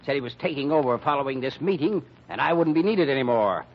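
An actor playing a dour, pessimistic company treasurer who grumbles through an American old-time radio mystery. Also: male voice, medium pitch, expressive, fast. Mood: bitter. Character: sour, gloomy, grumbling male company treasurer. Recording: dull vintage radio recording.

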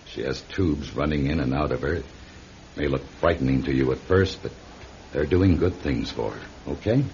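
A man playing a calm doctor in a radio drama, measured, reassuring and firm, with gentle authority. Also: extremely low pitch. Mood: gentle, careful. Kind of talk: classic radio drama acting. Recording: dull, noisy old radio recording.

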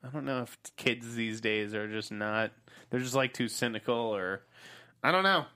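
A man's voice: mid-range voice, moderate pace, bright, forceful tone, clean tone. A man hosting a casual film podcast, talking loosely with hesitations and swearing. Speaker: podcast host. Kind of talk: casual chat.

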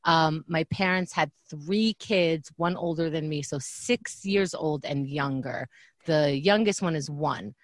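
A woman's voice: sits low, unhurried, no echo. A woman from Israel, raised in New York, chatting as a guest and telling stories with lots of "like". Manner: animated storytelling, lots of "like". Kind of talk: casual podcast chat.

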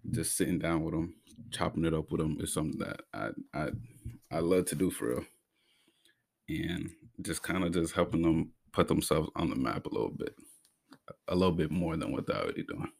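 A man's voice: extremely low pitch, creaky, no echo, studio quality. A young man from Massachusetts hosting his own podcast in a casual, slangy way, with an occasional stutter. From Brockton, Massachusetts. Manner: slangy, laid-back, stutters at times. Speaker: podcast host. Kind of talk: casual solo podcast talk.

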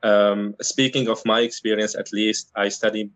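A man from Syria, a native Arabic speaker, answering interview questions in a measured, thoughtful way with frequent pauses and restarts. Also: fairly low pitch, level tone, no echo. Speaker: guest, internal medicine resident.

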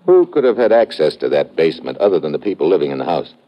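An actor playing a brisk, wry investigator who questions people and narrates his case in an old radio detective drama. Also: rumbling low, big pitch swings. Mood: inquisitive, businesslike. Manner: clipped, wry, businesslike. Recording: dull, noisy vintage radio recording.

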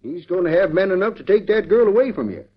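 A man's voice: high-pitched, sing-song, fast talker, dull audio. A man playing a blunt, folksy frontier telegraph operator in 1940s radio acting on an old recording.

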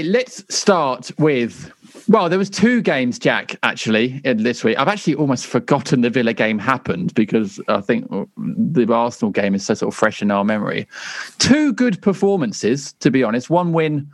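A tired man hosts a casual podcast, chatting with quick asides and easy laughs. His voice sits in a middle pitch. It is recorded dry and close. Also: expressive, dry recording. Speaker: podcast host.

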